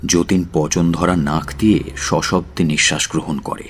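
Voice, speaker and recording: A man's voice: low voice, fairly even intonation, moderate pace, breathy edge. A man narrating a literary audio story in a dramatic, scripted style.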